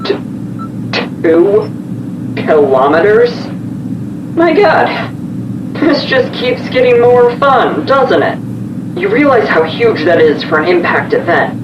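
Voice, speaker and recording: An actor playing an alarmed, sardonic government official in an audio drama, incredulous and emphatic. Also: male voice, sits high, sing-song, slow, pauses often, heavily acted. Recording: roomy, distant, dull, noisy vehicle ambience.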